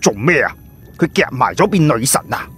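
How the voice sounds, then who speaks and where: Man putting on a very raspy, brash adventurer sidekick. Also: medium pitch, sing-song, moderate pace, fairly bright tone, pitched above the performer's own voice, exaggerated voice. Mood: mocking. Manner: colloquial, bantering, exclamatory. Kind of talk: audiobook character acting.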